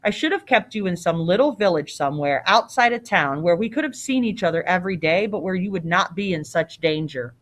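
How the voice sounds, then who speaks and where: A woman's voice: on the low side, lively intonation, brisk, hardly any pauses. Woman voicing an anxious old king. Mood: worried, regretful. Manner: cautious, fretful. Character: anxious old king, protective, doting father.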